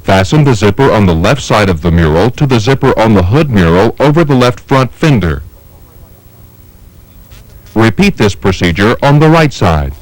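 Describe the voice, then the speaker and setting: A man reading scripted instructional narration for a training film in a measured, methodical manner. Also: on the low side, very animated, no room sound, poor audio. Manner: measured, methodical, step-by-step delivery.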